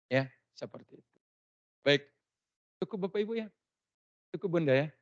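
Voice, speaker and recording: A male preacher from Lampung, Indonesia, giving a religious talk with lively anecdotes and frequent laughter. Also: fairly high pitch, moderate pace. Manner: lively storytelling, laughs often. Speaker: Islamic preacher. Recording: dull lo-fi sound.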